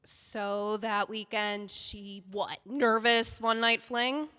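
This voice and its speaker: An actress playing an earnest book editor in an audio drama, hesitant and placating. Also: female voice, medium pitch, fairly even intonation, slow, sharp tone, clear, resonant tone. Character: young woman editor, earnest and sympathetic. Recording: long hall reverb.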